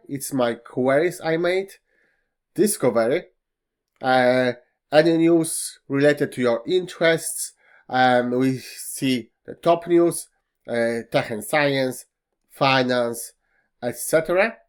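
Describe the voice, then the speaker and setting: A man whose first language is Polish walks through a web browser's features in a screen-recorded tutorial, pausing often with fillers and slightly non-native phrasing. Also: mid-range voice, smooth. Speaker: tech tutorial host. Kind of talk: screen-recorded software walkthrough.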